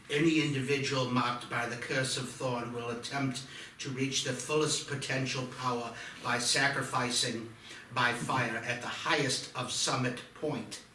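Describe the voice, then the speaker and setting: Grave doctor, bright forceful voice man acting, echoey. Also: medium pitch. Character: grave, obsessive male doctor, horror screenplay. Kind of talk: scripted dramatic reading. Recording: echoey room, distant, faint noise.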